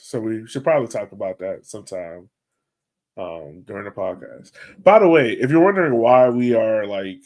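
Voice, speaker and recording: Casual man co-hosting a sports podcast. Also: lowish voice. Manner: informal, colloquial speech. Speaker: podcast co-host.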